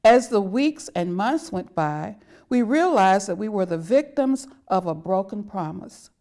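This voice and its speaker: A woman's voice: mid-range voice, animated, unhurried, darkish tone. A woman reading a historic letter aloud as scripted text.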